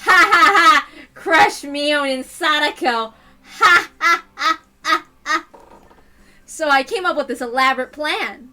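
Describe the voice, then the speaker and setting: A woman's voice: high-pitched, takes it slowly, sharp tone, exaggerated voice. A woman voicing a scheming, gloating young twin sister from an anime visual novel, taunting with theatrical mock politeness. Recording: music behind the voice.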